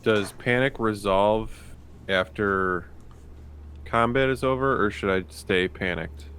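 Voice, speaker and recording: A man with a deep voice talks slowly at a game table as a player. He is casual and hesitant, working out his thoughts aloud, with faint noise in the background. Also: fairly bright tone.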